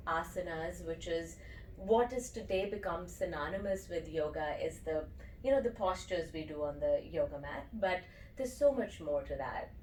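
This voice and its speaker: Low-pitched woman from India, eager teacher guest, heard from a distance in an echoey room. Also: a little mellow, a little hiss or hum. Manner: enthusiastic, explanatory, often checks in with "okay?". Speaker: breathwork and yoga teacher. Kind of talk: interview guest.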